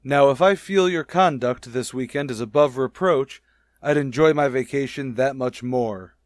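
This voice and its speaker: An actor playing a stuffy, bossy middle-aged high school principal in a vintage radio comedy, with formal, blustering delivery. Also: male voice, moderate pace, no room sound, studio quality, close to an everyday voice. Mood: pompous, stern.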